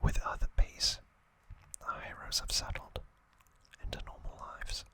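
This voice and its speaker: Guy doing asmr, all whispered, really slow and deliberate, crisp bright sound up close. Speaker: ASMR video creator and host.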